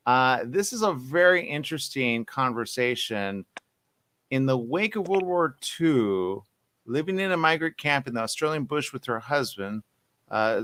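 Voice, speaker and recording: A man's voice: medium pitch, a bit bright, dry recording. A man hosting a casual online interview, chatty and enthusiastic, asking rambling questions. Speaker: interview host. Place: online video call.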